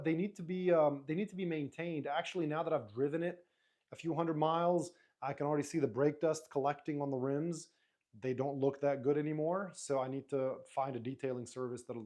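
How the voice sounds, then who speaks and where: A man whose first language is Arabic, hosting a live stream in a relaxed, rambling way, reading viewer comments aloud and answering them. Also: on the high side. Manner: relaxed, rambling, frequent restarts and fillers.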